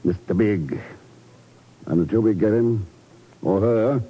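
A cool, world-weary male secret agent from a 1950s radio drama. His voice is low and husky, and he talks in terse, commanding lines. The recording is muffled. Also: takes it slowly. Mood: grim.

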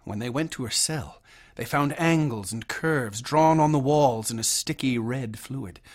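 An actor playing an obsessive, feverish young mathematics student in a radio drama, brooding and intense, sometimes hushed. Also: male voice, medium pitch, measured pace, close to an everyday voice. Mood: hushed, ominous.

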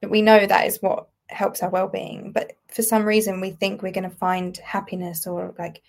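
A woman's voice: medium pitch, flat delivery, moderate pace, vocal fry. A woman in her twenties with a British accent, speaking as a podcast guest in a reflective, casually phrased conversational way. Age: 20s. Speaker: guest writer. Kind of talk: conversational interview.

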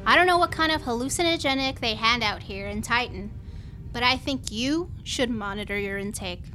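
A woman's voice: fairly high pitch, normal speed, pushed and bright, a little hiss or hum. An actress playing a brash, wisecracking young woman space pirate in a sci-fi audio drama, sarcastic and defiant.

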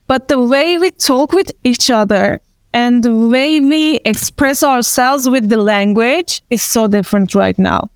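Smooth-voiced Turkish-accented woman panelist. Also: a little high, dry recording, studio quality. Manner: rambling, many "like" fillers, laughs easily. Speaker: panelist, event organizer. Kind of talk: casual panel discussion.

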